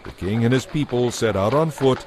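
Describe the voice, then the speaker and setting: A man's voice: mid-range voice, normal speed, background noise. A man narrating a dramatized Bible story from a script.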